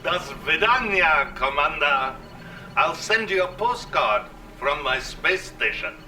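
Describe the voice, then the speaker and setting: A gloating Soviet leader in a video game. He has a fairly high male voice and a Russian accent, mocking and theatrical, a little distant beneath very loud music. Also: lively intonation, takes it slowly. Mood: smug, taunting.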